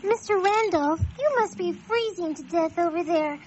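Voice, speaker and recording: Girlish, very high-pitched bubbly woman. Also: moderate pace, darkish tone. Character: bubbly, girlish, naive female college alum. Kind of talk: radio drama acting. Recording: muffled audio, noisy ambience.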